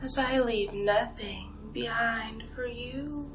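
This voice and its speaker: A woman playing a condescending, seductive vampire in an ASMR hypnosis role play, whispering breathily with slow, hypnotic pauses. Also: fairly high pitch, music in the background. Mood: hypnotic, soothing menace. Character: condescending seductive female vampire feeding on human prey.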